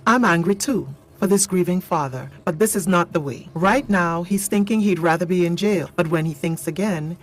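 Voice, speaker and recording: A woman giving dramatic scripted narration in a solemn, measured way. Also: on the low side, poor audio. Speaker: narrator and commentator. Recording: faint background noise.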